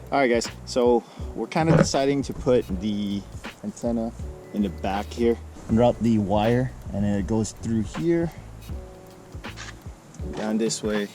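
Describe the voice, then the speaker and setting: A man's voice: fairly low pitch, a little mellow. A man hosting a vlog in casual, laid-back, slangy chat with an upbeat manner. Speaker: vlog host.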